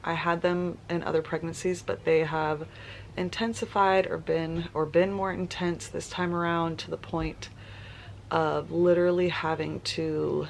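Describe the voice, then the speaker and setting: A woman's voice: lowish voice, flat delivery, measured pace, gravelly, slight background noise. A woman, chatting casually in a vlog and sounding congested and nasal, laughing often. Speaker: vlogger. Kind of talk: casual pregnancy vlog chat.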